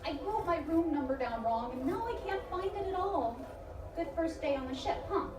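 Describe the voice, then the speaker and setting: A woman playing a flustered, apologetic young female passenger in a hurry, polite and rushed, in a live audio drama. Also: very high voice, lively intonation. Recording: echoey noisy live stage, distant mic.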